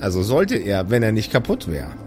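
A man plays a thoughtful woman doctor in an improvised audio drama. The speech is hesitant and pondering, with lively swings in pitch over clear background music. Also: normal speed. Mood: dry, explaining. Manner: hesitant, pondering, repeats phrases. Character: thoughtful, curious female doctor tinkering with tech.

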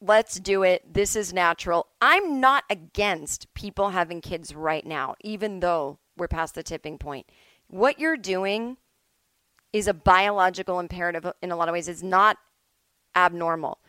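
A woman's voice: fairly low pitch, unhurried, fairly bright tone, smooth, dry recording. A middle-aged woman hosting a solo podcast, talking casually and bluntly, with emphatic, sweary opinions. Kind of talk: casual opinionated monologue, ranting. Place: at home.

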